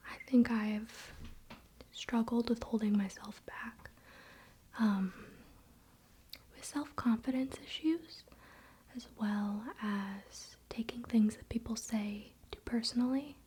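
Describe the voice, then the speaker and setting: A woman whispering breathily in an unhurried, confiding ASMR style, speaking directly to the viewer. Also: a little high, steady tone, a little mellow, slight background noise. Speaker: ASMR video creator.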